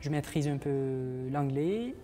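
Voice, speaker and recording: A man's voice: mid-range voice, animated, slow, warm tone. A young man from Uzbekistan with an Uzbek accent, a guest on a TV morning show, answering in French as a second language with many hesitations and restarts. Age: 20s. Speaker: guest, master's student.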